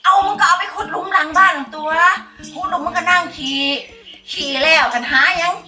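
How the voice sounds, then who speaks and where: Woman playing a bossy Isan village mother. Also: extremely high pitch. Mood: scolding. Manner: coarse, rapid scolding. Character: scolding rural mother, suspicious and bossy. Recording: roomy, distant, loud music behind.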